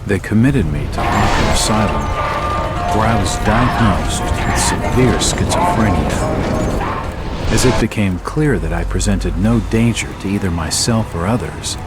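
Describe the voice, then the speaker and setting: A man playing a brooding, world-weary private detective in a video game, with a measured, grave, terse delivery. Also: sits low. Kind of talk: noir video game narration. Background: music.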